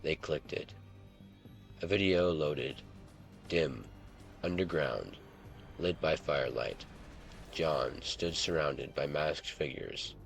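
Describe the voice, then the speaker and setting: A man narrating a scripted story in a very deep, gravelly voice. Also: lively intonation, slow, long pauses, faint music behind.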